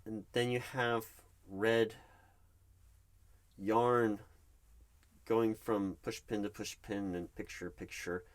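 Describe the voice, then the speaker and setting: A man acting as a nervous, polite recruiting manager in a one-sided ASMR job interview roleplay, speaking haltingly and questioningly. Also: on the low side, fairly even intonation. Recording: clear background noise, keyboard typing. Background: loud computer keyboard typing and clicks.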